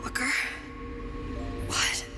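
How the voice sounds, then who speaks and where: A woman playing a troubled, determined young heroine in a video game, earnest, hesitant and introspective. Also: drawn-out words. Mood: bewildered. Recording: music clearly behind the voice.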